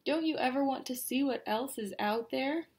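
A woman's voice: high voice, a little mellow, pitched above the performer's own voice. A woman voicing a bored, restless male storybook character who complains and pleads in a moaning, earnest way. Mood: yearning. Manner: moaning, drawn-out complaints turning to eager pleading. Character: bored, restless, glum male cartoon state, Kansas.

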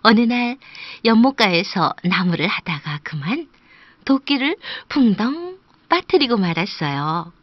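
Woman narrating a kids' folk tale, slow and lively, gentle sing-song, dry studio. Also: mid-range voice, no echo, lo-fi sound. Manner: gentle sing-song telling, sometimes hushed. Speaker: storyteller. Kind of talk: scripted children's storybook narration.

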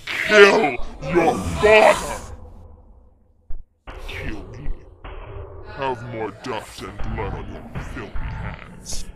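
Sadistic demon villain for a comic dub, slow mocking menace, voice swoops around, music pretty loud. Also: male voice, medium pitch, big pitch swings. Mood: cruel taunting. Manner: theatrical, mocking, drawn-out menace. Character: sadistic, taunting demonic villain possessing a child. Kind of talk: dramatic comic dub acting.

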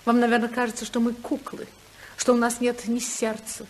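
A woman's voice: a little high, completely flat delivery, moderate pace, close to an everyday voice. An actress playing a proud, defiant married daughter in a 1950s radio play, composed and pointed in her dramatic delivery. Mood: cool defiance. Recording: hissy 1950s radio recording.

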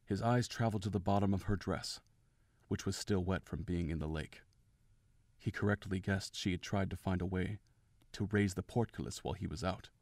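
Low-pitched male story narrator. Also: fairly even intonation, moderate pace, a little mellow, dry recording.

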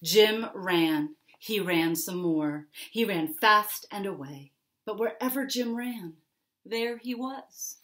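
A middle-aged woman reads a children's story aloud at an unhurried pace. Her delivery is expressive and dramatic, with deliberate pauses and emphasis on key words. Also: mid-range voice. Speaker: picture-book author reading aloud. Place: small furnished room at home, indoors.

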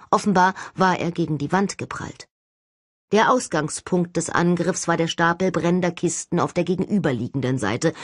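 A woman reading a novel as an audiobook narrator, with expressive scripted delivery. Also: fairly low pitch, dry recording, studio quality.

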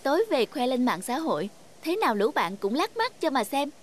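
A woman voicing an earnest, touchy young girl in a cartoon, an expressive big sister who gets whiny when crossed. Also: sits high, brisk, background noise. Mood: eager. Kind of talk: cartoon dub.

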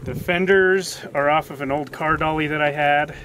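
A man's voice: fairly high pitch, steady tone, moderate pace, crowd noise, low-quality recording. A man in his thirties casually explaining his own build, recorded outdoors by a camera a few steps away. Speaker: guest showing his trailer build. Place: outdoors in a dirt yard. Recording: loud outdoor noise, off-camera voice.

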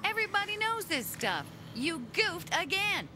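A woman's voice: very high-pitched, big pitch swings, moderate pace, background noise. A woman voicing a brash, teasing, bossy android woman in an English anime dub, with a sassy, blunt delivery.

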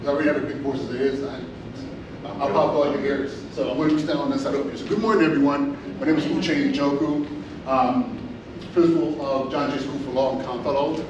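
A man's voice: medium pitch, a little fast, dull audio. Echoey middle-aged man presenting. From Inglewood, California. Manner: animated storytelling with many restarts and fillers. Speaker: school principal. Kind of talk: conference presentation. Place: large hotel meeting room. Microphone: far camera, no mic. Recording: echoey room from far off, audible noise.

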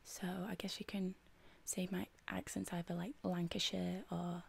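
A young woman with a Mancunian accent, whispering soft-spoken ASMR and slowly repeating single words close to the mic. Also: on the low side, flat delivery, vocal fry, husky. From England. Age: young adult. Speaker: ASMR creator. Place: indoor studio with plain backdrop.

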